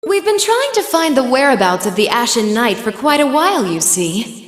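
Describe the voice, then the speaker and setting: A woman's voice: high-pitched, big pitch swings, normal speed. A woman voicing an elegant, coy villainess sorceress in a video game, sultry and condescending as she teases. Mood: smug, composed. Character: elegant, teasing villainess sorceress speaking through her bird familiar. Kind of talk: English video game voice acting. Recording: roomy long reverb, music underneath. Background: music.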